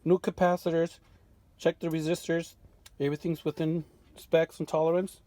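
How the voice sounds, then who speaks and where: A man giving an unscripted show-and-tell of his restoration work in a home workshop, relaxed and full of fillers and restarts. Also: on the high side, moderate pace, dry recording. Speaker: vintage radio restorer.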